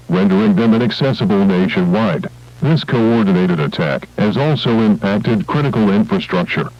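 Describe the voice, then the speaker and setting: Male emergency alert announcer, lowish voice, formal scripted read, dull band-limited sound. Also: dry recording.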